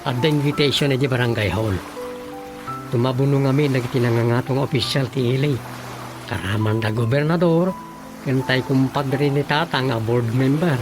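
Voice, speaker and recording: A man playing a talkative married village councilman in an Ilocano radio drama comedy, thinking aloud and explaining with many exclamations in a gravelly voice. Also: medium pitch, moderate pace, music in the background, close to an everyday voice. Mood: explaining, animated.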